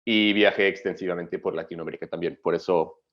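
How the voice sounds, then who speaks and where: Man, low voice, muffled webinar audio. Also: fairly even intonation. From Australia. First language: English. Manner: halting, with many fillers and self-corrections, checks in with "¿ok?". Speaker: migration agent presenting a webinar. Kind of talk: explanatory webinar presentation.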